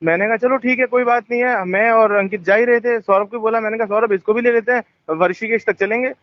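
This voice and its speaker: High-voiced man rambling on a muffled phone call. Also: fairly even intonation, fast, no room sound. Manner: rambling, defensive, heavy fillers. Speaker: phone caller. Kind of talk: tense phone conversation.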